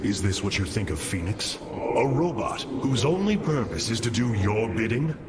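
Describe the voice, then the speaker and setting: A man as a grave, expressive noble alien commander. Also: on the low side, normal speed, heavy background noise, close to an everyday voice. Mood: firm, persuasive. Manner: grave, formal, stately. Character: noble alien commander, resolute, compassionate leader. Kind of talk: dramatic video game acting.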